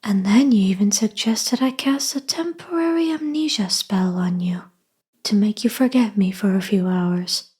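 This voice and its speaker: A woman voicing a doting, clingy yandere kitsune wife in an intimate ASMR roleplay, mostly in a soft, tender whisper. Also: mid-range voice, breathy edge. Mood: tender, reassuring. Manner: tender, slow, cooing affection.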